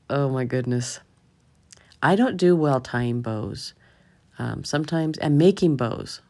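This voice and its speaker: A middle-aged woman with a low, slightly hoarse voice, narrating a craft tutorial in a casual, chatty, self-deprecating way. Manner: chatty, self-deprecating, chuckles at own mishaps. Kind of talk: casual voice-over narration of a DIY craft demo.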